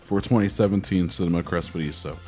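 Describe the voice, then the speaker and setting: Laid-back low-voiced man hosting. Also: fairly even intonation, fast talker, a little mellow, faint music behind. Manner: laid-back, rambling, swears casually. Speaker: podcast host. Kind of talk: casual chat.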